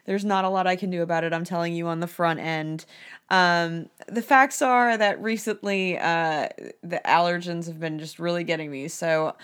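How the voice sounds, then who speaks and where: Hoarse woman hosting a dog podcast. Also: on the low side, fairly even intonation, normal speed, fairly bright tone. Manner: chatty, with frequent ums and uhs. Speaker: dog trainer, podcast host. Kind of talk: casual podcast advice.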